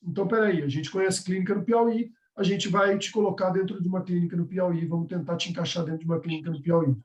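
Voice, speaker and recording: Instructor, male, high-pitched, conversational explainer, echoey room. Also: fairly even intonation, fast talker, lo-fi sound. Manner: conversational, explaining step by step with frequent "tá?" checks. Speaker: course instructor. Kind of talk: live online class with course announcements.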